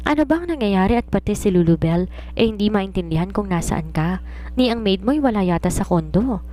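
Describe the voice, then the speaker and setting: A woman storyteller voicing an anxious, demanding mother of a grown daughter in a high-pitched voice. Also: lively intonation, fairly quick, dark tone, lightly acted.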